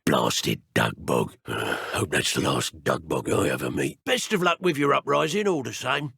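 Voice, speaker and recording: A menacing British dark wizard poacher from a video game, taunting and sneering with theatrical flair. His pitch swings widely, with some gravel and a husky edge. The speech is colloquial and full of threat. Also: no room sound, clean studio sound, heavily acted. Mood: taunting, scornful. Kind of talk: video game character voice acting.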